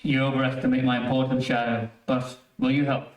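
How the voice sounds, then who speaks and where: A man's voice: medium pitch, level tone, fairly quick, lightly acted. Man as earnest surgeon, distant and roomy. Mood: earnest, pleading. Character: worried, earnest male surgeon under death threat. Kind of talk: 1930s radio drama acting. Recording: roomy, distant-miked stage recording.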